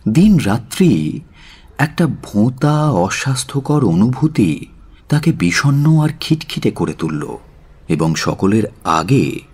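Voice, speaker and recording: A man narrating a dramatic audio story in a measured, solemn and expressive way. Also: fairly low pitch, breathy edge. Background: faint music.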